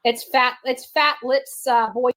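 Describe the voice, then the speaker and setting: Very high-pitched, brash woman. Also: fairly even intonation, a little slow, a bit bright. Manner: mocking, brash. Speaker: livestreamer. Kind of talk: casual livestream chat.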